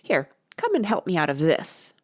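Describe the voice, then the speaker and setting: A woman's voice: lowish voice, huge pitch swings, moderate pace, no room sound, clean studio sound. A woman voicing an angry, imperious male rabbit who barks orders in a storybook reading. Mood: angry, scolding. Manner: barking imperious orders, scolding. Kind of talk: storybook character voice.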